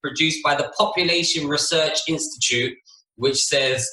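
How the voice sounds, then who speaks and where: A man with a fairly high, sharp, forceful voice chats as a podcast co-host. He sounds earnest and insistent, arguing his case casually, with plenty of room echo around him. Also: normal speed. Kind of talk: casual argumentative chat. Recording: roomy, echoey room sound.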